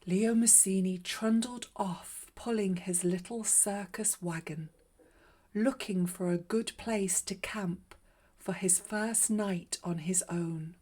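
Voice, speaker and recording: Slow, breathy woman reading a storybook. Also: mid-range voice. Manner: measured, expressive read-aloud. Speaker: children's story reader. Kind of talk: scripted storybook narration.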